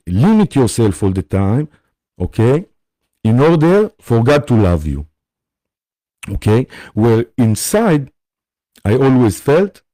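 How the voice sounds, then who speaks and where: A man from Israel with an Israeli accent, hosting a live spiritual session. He speaks in a halting, gentle way and often pauses to check in with his listeners. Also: mid-range voice, no room sound. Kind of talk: live-streamed spiritual talk.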